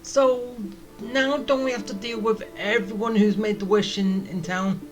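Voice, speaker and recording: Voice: medium pitch, fairly even intonation, unhurried, poor audio. A gamer giving casual, muttered reactions while playing through a video game. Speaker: gaming streamer. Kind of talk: casual playthrough commentary. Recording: loud game music and crashes behind. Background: game battle sounds and music.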